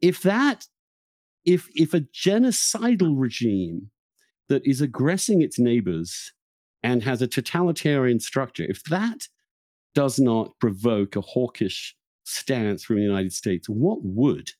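A man hosting a podcast, arguing a political point earnestly and deliberately, with some hesitation. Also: mid-range voice, big pitch swings, a little mellow, no room sound, clean studio sound. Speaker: podcast host.

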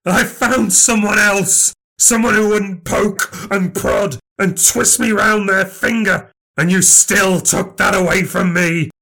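A man voicing a bitter, scheming old grandmother who is shrill, indignant and cutting. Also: sing-song, slow, gravelly, few pauses, a bit bright, heavily acted. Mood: furious. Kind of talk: audiobook character voice.

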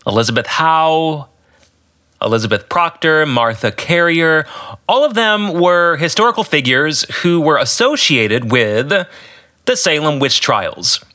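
An American man hosting a solo podcast as an author, explaining his work with emphatic, deliberate phrasing. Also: on the high side, pushed and bright.

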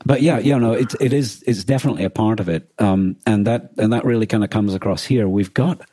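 A man co-hosting a podcast, explaining things in a casual, halting discussion as he thinks aloud. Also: fairly low pitch, warm tone, breathy edge, dry recording, clean studio sound. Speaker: podcast co-host. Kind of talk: casual discussion of a radio drama.

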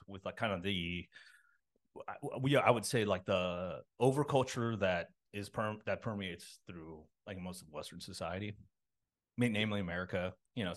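A man from a Los Angeles suburb, likely in his thirties, talking as a podcast guest in a halting, rambling way full of fillers and restarts. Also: low voice. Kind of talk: casual interview talk.

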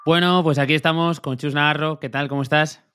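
A man co-hosting a podcast, reading a sponsor spot and chatting with a guest in a relaxed way with many hesitations. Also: on the high side, fairly quick, loud music behind the voice. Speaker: podcast co-host. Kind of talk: ad read and casual interview.

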